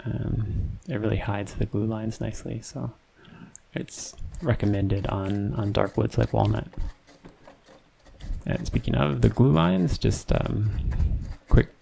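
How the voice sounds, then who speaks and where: A man narrating his woodworking build in a relaxed, unhurried way, with many ums and restarts. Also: lowish voice, creaky, slight background noise. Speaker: woodworking channel host. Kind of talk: casual voice-over narration of a build. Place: home woodshop.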